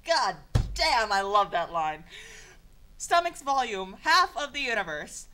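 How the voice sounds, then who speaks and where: A young woman reading broken fan fiction aloud for comedy, in a deadpan voice, stumbling over garbled phrases and breaking off for exasperated sarcastic asides. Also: mid-range voice, measured pace. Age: young adult. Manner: stumbles and self-corrects over garbled sentences.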